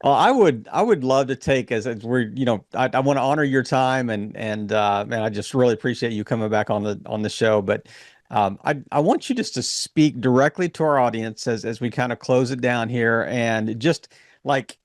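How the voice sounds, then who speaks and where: A man's voice: medium pitch, moderate pace, no echo, clean studio sound. A man hosting a podcast, talking loosely with lots of restarts. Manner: rambling, many fillers and restarts. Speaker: podcast host. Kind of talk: casual interview chat.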